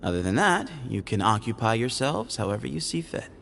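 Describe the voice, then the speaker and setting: A man voicing a sly, playful young man in a video game, teasing and evasive, with a relaxed manner. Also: mid-range voice, a little fast, vocal fry, a little hiss or hum. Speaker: game character.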